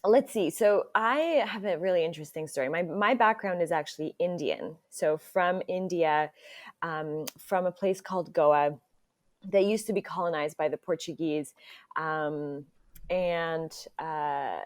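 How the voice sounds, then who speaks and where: Young woman from nigeria, guest on a podcast, lowish voice, chatty and open. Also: lively intonation, measured pace. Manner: open, chatty, laughs easily. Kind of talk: casual interview chat.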